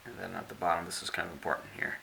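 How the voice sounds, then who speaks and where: A man giving casual unboxing narration as a product reviewer, explaining things in a measured, step-by-step way. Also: low-pitched, very animated, creaky, sharp tone. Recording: faint background hiss.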